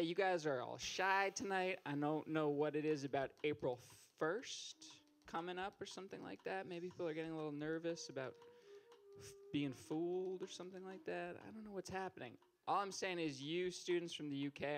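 A young man hosting a poetry open mic on a small stage, teasing the crowd with stand-up style banter into a handheld mic. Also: high-pitched. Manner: joking, teasing, rising to loud calls to the stage.

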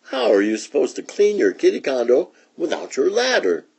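A man's voice: a little high, huge pitch swings, normal speed, creaky, a little mellow, slightly rough, voice pushed up, full character voice. A man voicing a bossy, dramatic mother cat who scolds fondly in emphatic storybook rhyme. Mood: firm, instructing. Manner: emphatic, rhyming, theatrical.

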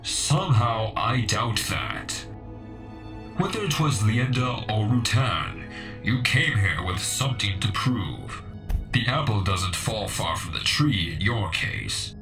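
A man's voice: fairly low pitch, animated. A man voicing a weary old cyborg echidna great-uncle, stern but caring, in a comic dub. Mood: weary, reproving. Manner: deliberate, admonishing, grandfatherly. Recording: music behind the voice.

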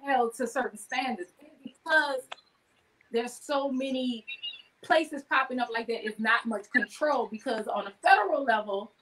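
A woman's voice: a little high, normal speed, noisy background. A woman in her thirties chatting casually as a guest on a video call, talking about her own work. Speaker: guest, wellness business owner. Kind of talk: casual chat with self-promotion. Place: indoor room on a video call.

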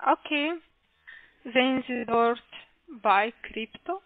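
A woman call-centre caller with a foreign accent in non-native speech, giving halting, insistent instructions and repeating her questions over a muffled phone line. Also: a little high, no room sound. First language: not German. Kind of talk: scripted phone sales instructions.

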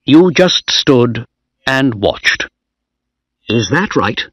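A man's voice: medium pitch, lively intonation, drawn-out words, a bit bright, breathy edge, no room sound, clean studio sound, exaggerated voice. A man voicing a stern naval captain who questions a young officer at a trial.

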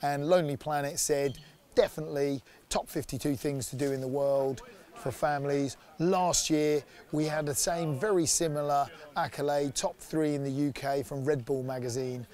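A man's voice: on the high side, fairly even intonation, moderate pace, a little mellow. A man in his forties, an outdoor activity company director, talking enthusiastically in a promotional interview outdoors in a sunny woodland, on a lapel mic. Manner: enthusiastic, upbeat.

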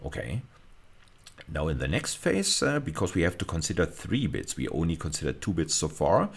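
A man's voice: low voice, big pitch swings, moderate pace, creaky, slightly rough. A middle-aged man giving an explanatory recorded lecture, working methodically step by step and repeating key phrases for emphasis. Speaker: university lecturer.

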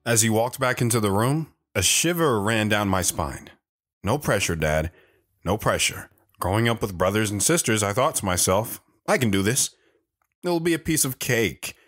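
A man's voice: on the low side, animated, normal speed, a bit bright. An actor playing a humble, devoted wandering man narrating his life story in an audio drama. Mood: reflective. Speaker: storytelling guest on a radio show.

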